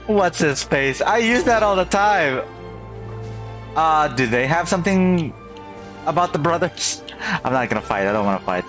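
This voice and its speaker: Casting: male streamer, casual game commentary, very animated intonation, soft music behind. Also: fairly high pitch, moderate pace, pauses often.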